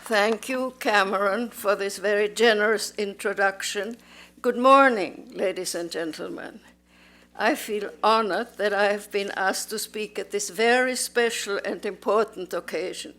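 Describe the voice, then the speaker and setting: A woman in her nineties, born in Austria, giving a slow, measured, formal speech as a museum volunteer in a museum auditorium. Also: mid-range voice, a bit bright. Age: 90s. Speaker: museum volunteer and Holocaust survivor. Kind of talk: prepared public speech.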